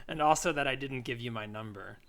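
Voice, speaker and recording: A man's voice: mid-range voice, a bit bright. A man co-hosting a comedy podcast, chatting casually and reading out ads.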